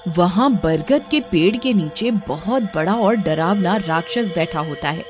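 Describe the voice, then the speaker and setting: A woman's voice: fairly low pitch, expressive, measured pace, over music. A woman giving scripted storytelling narration as the narrator of a tale.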